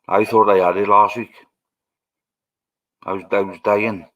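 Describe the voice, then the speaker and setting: Welsh man, livestream host, lowish voice, easygoing rambling chat to viewers. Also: level tone, a little slow, a bit bright, lo-fi sound. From Wales. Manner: rambling, musing aloud to viewers.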